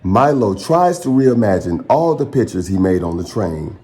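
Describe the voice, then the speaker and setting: Low-voiced man reading a picture book expressively. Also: very animated, mellow. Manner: dramatic, pausing phrasing, speaks to young listeners. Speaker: children's storytime reader and channel host.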